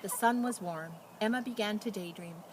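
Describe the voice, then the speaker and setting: A woman reading aloud as a children's storyteller, with expressive, dramatic emphasis and casual delivery. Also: mid-range voice, a little hiss or hum. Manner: animated, dramatic emphasis and drawn-out words.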